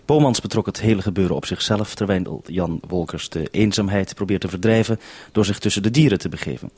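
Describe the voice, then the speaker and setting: A man with a Dutch accent from the Netherlands and a husky voice, hosting a radio report and interview. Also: fairly low pitch, darkish tone, no room sound. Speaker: radio host.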